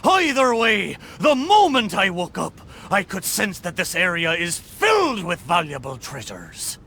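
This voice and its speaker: An actor playing a boastful, hearty talking pirate ship in a video game, with exclamatory, theatrical pirate talk. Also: male voice, high voice, wildly swooping pitch, measured pace, bright, forceful tone, slight background noise, exaggerated voice. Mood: hearty, persuasive. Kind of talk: video game character acting.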